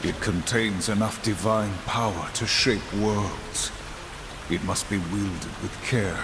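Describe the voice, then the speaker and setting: A man's voice: fairly low pitch, steady tone, slow, background noise. An actor playing a stern, dutiful male warrior horseman in a video game, solemn and formal. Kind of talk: video game cutscene acting.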